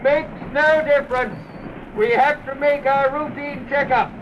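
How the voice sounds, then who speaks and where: An actor playing a firm official hailing a ship in a vintage radio thriller, calling deliberately over boat noise. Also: male voice, extremely high pitch, steady tone, recorded from a distance. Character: official boarding party man, firmly hailing a ship. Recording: dull old radio sound, boat noise.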